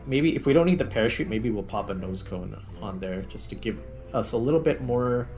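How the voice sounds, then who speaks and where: A man giving casual gameplay commentary as he hosts. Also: lowish voice. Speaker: host, gamer. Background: background music.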